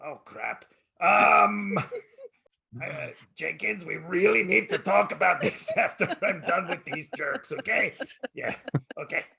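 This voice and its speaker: A man voicing a crude, goofy male guest character, raspy and gravelly, cracking lewd jokes and repeating "yeah, yeah, yeah" on a casual podcast. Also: high voice, animated, slow, bright, forceful tone, exaggerated voice. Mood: crude joking.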